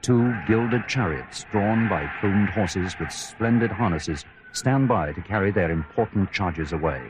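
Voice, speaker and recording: A man's voice: sits low, animated, a little slow. A man playing an outside-broadcast radio reporter, giving descriptive live commentary on a ceremony over noisy ambience. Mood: watchful, expectant. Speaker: on-the-scene reporter.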